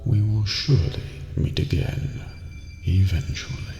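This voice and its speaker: Eerie old master of a dream prison , acted by a man: slow, dark, formal and ominous, gravelly ASMR near-whisper. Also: sits low, highs cut off. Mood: courteous, mysterious. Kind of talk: scripted ASMR roleplay. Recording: echoey hall reverb with background music.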